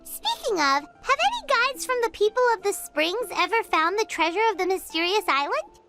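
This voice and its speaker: A tiny female fairy sidekick from a video game, squeaky, childlike and extremely high. The delivery is bubbly and excitable, swooping up and down over music. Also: moderate pace, heavily acted. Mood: curious. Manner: bubbly, exclamatory.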